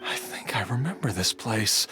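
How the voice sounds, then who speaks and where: An actor playing a troubled, determined young man in a video game, speaking hesitantly and haltingly. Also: on the low side, lively intonation, over music, natural voice. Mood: uneasy.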